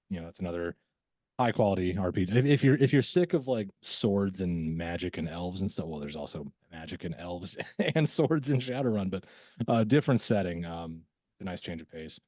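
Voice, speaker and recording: A man's voice: on the low side, warm tone, no echo. A man chatting casually as a podcast guest. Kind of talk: casual chat.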